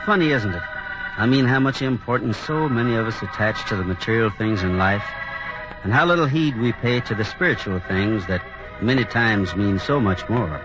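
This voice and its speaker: An actor playing a kindly, steady, sincere Western hero who also tells the story in a 1950s radio drama. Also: male voice, fairly low pitch, normal speed, over music, close to an everyday voice. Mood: reflective. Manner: sincere, reassuring. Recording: muffled old radio recording, faint noise.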